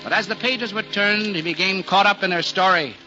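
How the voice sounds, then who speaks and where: A man's voice: high voice, brisk, bright, forceful tone. A man narrating a 1940s radio drama as a scripted story, with solemn, literary delivery. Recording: muffled 1940s radio recording. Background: music underneath.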